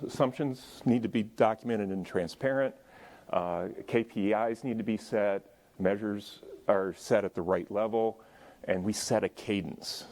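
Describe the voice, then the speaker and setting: A man with a deep voice giving a conversational lecture at a symposium, adding joking asides to the audience and moving around as he talks. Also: darkish tone. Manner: moves around while speaking. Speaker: conference speaker. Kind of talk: conference lecture.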